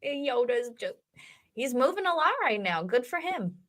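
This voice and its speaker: Bright, high-pitched, animated woman. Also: unhurried. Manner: excitable, playful. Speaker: livestream co-host. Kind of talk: casual chat.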